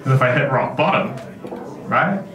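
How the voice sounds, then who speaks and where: A young man with a New Zealand accent, a stand-up comedian with a dry, deadpan, self-deprecating delivery, speaking into a handheld mic in a brick comedy club. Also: mid-range voice, moderate pace, echoey room, recorded from a distance. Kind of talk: stand-up set and casual interview. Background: crowd laughter.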